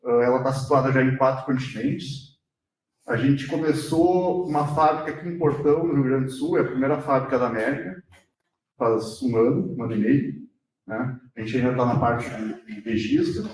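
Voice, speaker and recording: A man's voice: medium pitch, lively intonation, normal speed, darkish tone, a little hiss or hum. A man giving a short, casual introduction to his company before handing over to the main speaker, with frequent tag questions. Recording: echoey hall, voice from far off.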